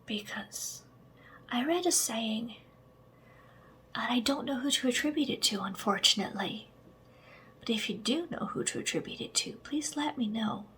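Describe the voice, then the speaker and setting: A woman whispering slow, soft ASMR affirmations straight to the listener, with chatty asides and a gentle sense of humor. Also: a little high, animated. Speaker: ASMR creator.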